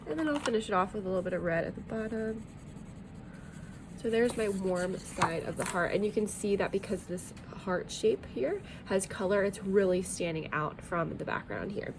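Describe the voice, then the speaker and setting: A woman's voice: medium pitch, lively intonation, normal speed, background noise. A woman art teacher talking through a drawing tutorial in a friendly, chatty way, a bit sniffly from allergies, with plenty of ums.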